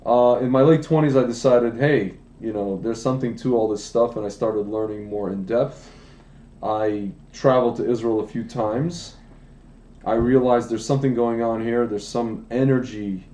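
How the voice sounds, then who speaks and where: A man's voice: medium pitch, steady tone, normal speed, mellow, reverberant. A man in his late thirties, telling his life story in a casual, rambling podcast chat, with many restarts and asides. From United States. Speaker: wine business founder, podcast guest. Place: indoor room, livestream podcast.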